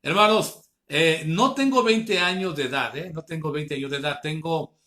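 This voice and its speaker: A man, preaching an online Bible class, earnestly calling his listeners brothers and slowing down to read scripture. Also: a little high, sharp tone. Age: 50s.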